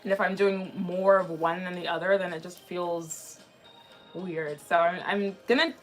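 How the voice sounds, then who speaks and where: A woman in her twenties chatting casually on a livestream in a rambling way, slightly raspy from a sore throat. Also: fairly low pitch, steady tone, measured pace, rough recording. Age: 20s. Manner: chatty, rambling, frequently restarting sentences. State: mildly sick with a sore throat. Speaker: anime livestream host. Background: background music.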